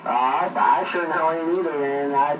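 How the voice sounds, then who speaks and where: A man's voice: on the high side, normal speed. A man, a tow truck driver chatting casually on CB radio, rambling along with lots of fillers. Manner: rambling storyteller, many fillers. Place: parked near a coffee shop. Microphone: CB radio handset. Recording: echoey distant CB radio with static.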